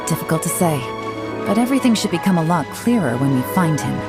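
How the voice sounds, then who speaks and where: A woman playing a calm, commanding woman leader. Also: on the low side, natural voice. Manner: measured, authoritative. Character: composed adult woman leader, calm and authoritative. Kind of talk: game dialogue. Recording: game audio with music behind.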